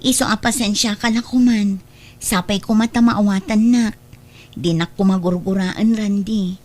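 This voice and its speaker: A woman's voice: mid-range voice, fairly even intonation, moderate pace, darkish tone, close to an everyday voice. A woman with an Ilocano accent acting a dutiful, apologetic young woman in an audio drama. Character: apologetic, dutiful young woman turning away her suitor.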